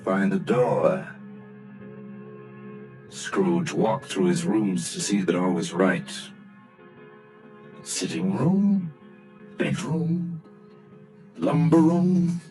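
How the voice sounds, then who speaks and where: A man narrating a story in a vintage radio play, measured and lively. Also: low voice, rough recording. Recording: old radio play with music. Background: music.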